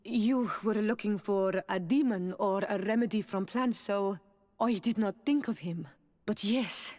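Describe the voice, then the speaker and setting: An actress playing a proud, helpful island princess in a video game, speaking in a solemn and measured way. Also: female voice, medium pitch, darkish tone, close to an everyday voice. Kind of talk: dramatic video game acting. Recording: long hall-like reverb.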